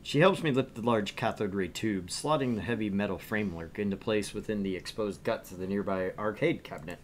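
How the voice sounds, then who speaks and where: A man's voice: fairly low pitch, brisk, a little hiss or hum. A man streaming a visual novel, reading its narration aloud and chatting casually about it. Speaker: streamer and reader.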